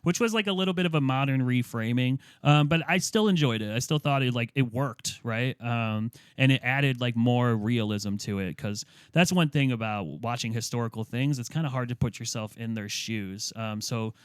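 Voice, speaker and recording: A man's voice: medium pitch, moderate pace, few pauses, clear, resonant tone, no room sound, studio quality. A man co-hosting a casual movie review chat, rambling easily with lots of fillers. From United States. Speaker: podcast co-host.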